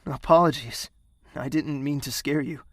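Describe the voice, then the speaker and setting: A woman playing a calm, gently teasing admirer in a romantic period fantasy audio drama, speaking without hurry. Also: extremely low pitch, moderate pace, slight background noise. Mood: gentle, teasing.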